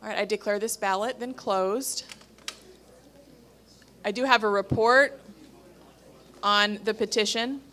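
Slow, deliberate woman chairing a meeting. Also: medium pitch, steady tone, a bit bright. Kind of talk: formal procedural announcement.

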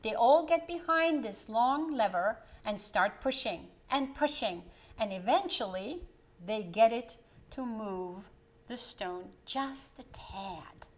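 A middle-aged woman reading a children's storybook aloud in an expressive, emphatic way, with explanatory asides to young listeners. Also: fairly high pitch, takes it slowly, muffled recording. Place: indoor living room.

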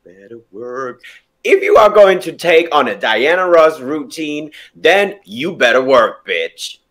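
A man's voice: a little high, very animated, measured pace, a bit bright. A man with a German accent, a drag queen guest on a video call, trading campy insults with laughter. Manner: sassy, laughing, campy delivery of example insults. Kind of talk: playful video call chat.

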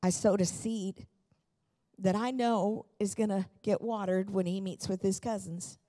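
A woman's voice: mid-range voice, fairly even intonation, a little slow, mellow. A middle-aged woman pastor preaching a sermon with personal stories, speaking emphatically into a handheld microphone on a church stage. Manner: emphatic and fervent, with repeated phrases. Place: large church auditorium, on stage.

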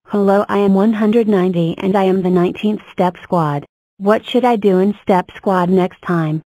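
A woman's voice: mid-range voice, moderate pace, warm tone, no echo, close to an everyday voice, poor audio. A woman voicing an earnest, chatty number block in a children's counting cartoon, with stiff, formulaic delivery.

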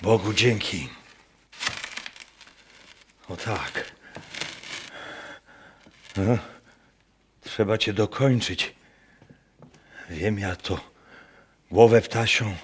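Slow-spoken, awestruck Masurian woodcutter. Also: male voice, on the low side, slight background noise. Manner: rustic, halting, exclamatory dialect speech. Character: simple Masurian woodcutter, awestruck drunkard visionary. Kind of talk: radio play acting. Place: wooden barn, staged with musicians. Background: music.

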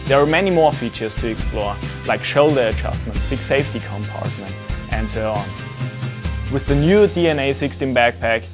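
A man reading a scripted product promo in a brisk, clean manner. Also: mid-range voice, animated. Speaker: product presenter. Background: guitar music.

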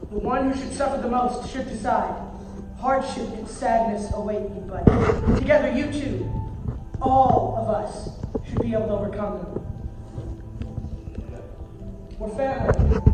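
A young man playing a reflective, devoted father, telling his story earnestly in a stage play. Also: extremely high pitch. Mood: reassuring. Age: young adult. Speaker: amateur stage actor. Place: theater stage. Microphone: far audience camera. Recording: distant echoey theater hall, music behind.

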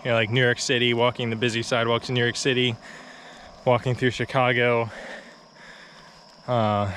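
A man's voice: mid-range voice, a little hiss or hum. Young man vlogging while walking uphill. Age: young adult. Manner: relaxed and chatty, with many fillers and short laughs. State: slightly out of breath from walking uphill. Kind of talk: casual walking vlog. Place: outdoors on a quiet rural road, walking. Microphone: phone or action camera held at arm's length.

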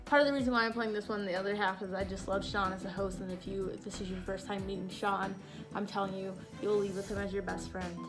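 A woman's voice: medium pitch, steady tone, quick pace, over music. A woman in her thirties giving a bubbly, rambling self-introduction as a game contestant, recorded on a webcam in her bedroom. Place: bedroom at home.

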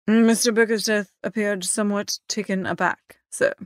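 A woman reading the part of a polished, deferential gentleman's manservant in a formal, measured manner for an audiobook. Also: mid-range voice, fairly even intonation, no echo, clean studio sound, lightly acted. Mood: calm, deferential.

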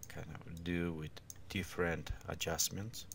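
A man who is not a native English speaker gives an informal, step-by-step software tutorial in halting, unscripted phrases. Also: low-pitched, flat delivery, creaky, a little hiss or hum.

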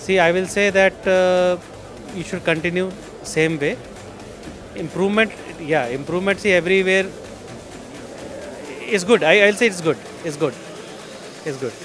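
A man's voice: sits high, moderate pace, pauses often, over music. Emphatic man at expo. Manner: emphatic, says "see" often. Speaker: exhibitor. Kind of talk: casual interview answers. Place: indoor expo stall. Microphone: handheld mic.

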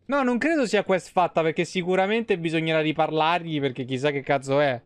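A man's voice: fairly high pitch, brisk, clear, resonant tone, no room sound. A man in his thirties giving casual, slangy, sweary and sarcastic live commentary on a game. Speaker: game streamer. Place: small room at home, indoors.

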